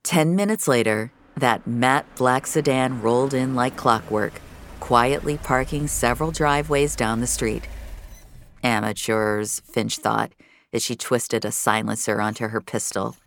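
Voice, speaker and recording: A woman's voice: very deep voice, a little slow, slight background noise. A woman narrating a scripted fiction story as its storyteller.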